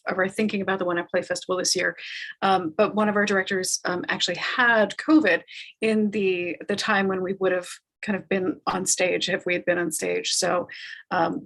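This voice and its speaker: A woman hosts an online talkback in an informal way. Her phrasing is measured and halting, with pauses as she works toward each point. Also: medium pitch, creaky, fairly bright tone. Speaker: talkback host. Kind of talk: informal hosting of an online panel.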